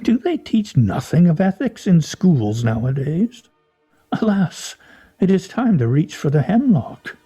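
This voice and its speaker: A man's voice: sits high, very animated, unhurried, dark tone, breathy edge, faint music behind, full character voice. An actor in a radio play voicing a pompous, sardonic man who speaks in grandiose, mock-serious style. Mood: mock indignation. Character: pompous, sardonic, theatrical man at a scrabble game.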